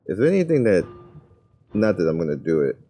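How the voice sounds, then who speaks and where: A man's voice: low voice, sing-song, a little fast, mellow, a little hiss or hum. A man giving casual gameplay commentary, thinking aloud in informal, slangy, muttered asides. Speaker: gaming video creator.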